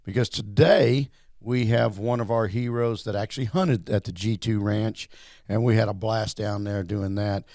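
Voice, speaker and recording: Male interview host, low gravelly voice, meandering friendly delivery. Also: fairly even intonation, normal speed, darkish tone, no echo, clean studio sound. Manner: rambling, repeats words, friendly. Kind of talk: casual interview.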